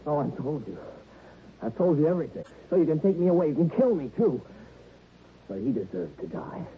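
An actor playing a temperamental, vain young violinist who has killed, impassioned and self-pitying in an old radio drama. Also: male voice, fairly high pitch, very animated, fairly quick. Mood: bitter confession. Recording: tinny old radio broadcast, faint noise.

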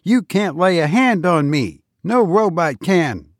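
A man's voice: sits high, big pitch swings, slow, dry recording, studio quality, pitched above the performer's own voice, full character voice. A man voicing a stubborn, spoiled young boy who boasts about his father. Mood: defiant. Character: defiant spoiled young boy, mayor's son.

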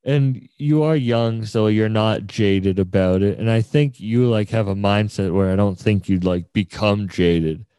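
A man's voice: on the low side, steady tone, a little slow, hardly any pauses, warm tone, clean tone, no echo. A man hosting a podcast, interviewing in a casual, slangy and encouraging way. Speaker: podcast host interviewing a musician.

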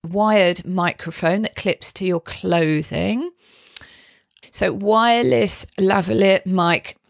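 A woman, slightly hoarse, hosting a chatty live video tutorial from her desk on a desk mic. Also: on the low side, no room sound, studio quality. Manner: chatty, explains step by step. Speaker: host. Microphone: desk microphone.

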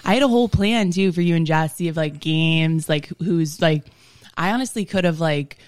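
A woman's voice: low-pitched, normal speed, darkish tone, clean tone, no echo. A young woman hosting a podcast in a studio, chatting casually and swearing freely with lots of "like". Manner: laid-back, swears casually, frequent "like". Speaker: podcast host. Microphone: studio mic.

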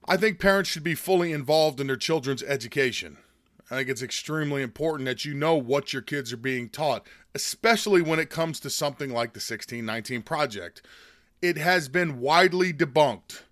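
A man from the United States, hoarse from a chest cold, giving a scornful, indignant opinion monologue as host. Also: a little high, a little fast, a bit bright, no echo, clean studio sound. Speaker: political commentary host.

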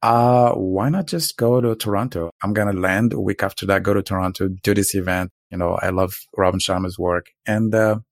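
A man's voice: low-pitched, normal speed, hardly any pauses, no echo, studio quality. A man telling stories casually in conversation as a podcast guest, in a reflective, philosophical manner. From Morocco.

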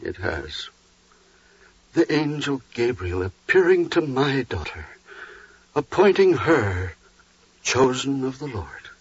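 A man's voice: mid-range voice, sing-song, slow, long pauses, husky, no room sound, exaggerated voice. An actor playing a troubled, humble, pious biblical father, earnest and theatrical in 1940s radio drama style. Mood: stunned. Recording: muffled, dry vintage 1940s radio recording.

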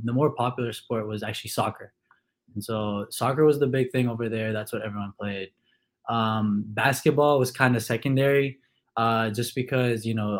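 A young man from Kuwait, a college football player, answering interview questions in a relaxed way with many fillers. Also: mid-range voice. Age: young adult.

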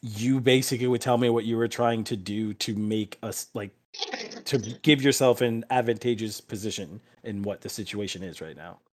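A man's voice: lowish voice, moderate pace. A man acting as game master, explaining options and narrating a tabletop game in informal, profane talk.

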